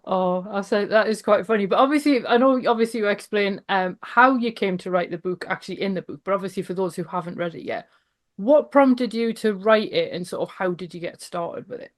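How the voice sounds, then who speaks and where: Podcast host: woman, medium-pitched voice, relaxed and chatty interviewer. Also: brisk. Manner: casual, chatty questioning.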